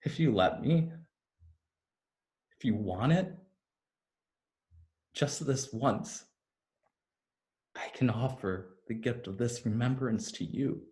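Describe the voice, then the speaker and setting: An actor playing an intense man who urges a lost fairy to remember himself, in deliberate, poetic lines of a staged play reading. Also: medium pitch, darkish tone, close to an everyday voice. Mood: earnest, urging. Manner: deliberate, taunting then earnest, poetic. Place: remote staged reading over video call. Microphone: video call microphone.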